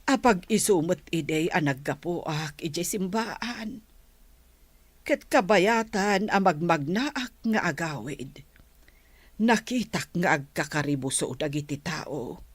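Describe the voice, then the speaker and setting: A woman acting in a radio drama as a remorseful older grandmother confessing a secret, halting and pleading. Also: on the low side, expressive, breathy edge, dry recording, full character voice. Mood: sorrowful recounting.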